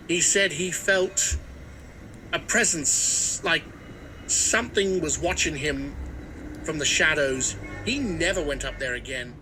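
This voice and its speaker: A man acts out a superstitious old village historian in an audio mystery drama. The voice is sharp, forceful and a little high, with hesitant, folksy storytelling over faint background noise. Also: low-quality recording. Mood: uneasy, secretive. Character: superstitious old male small-town local historian. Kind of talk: scripted mystery drama interview.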